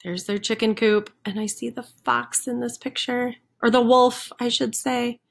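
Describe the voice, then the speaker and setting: A woman's voice: on the high side, little pitch movement, a little slow, vocal fry. A woman reading a picture book aloud to young children, expressively leaning on the rhyming sound words. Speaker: children's center storyteller. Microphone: computer microphone on a screen recording with webcam.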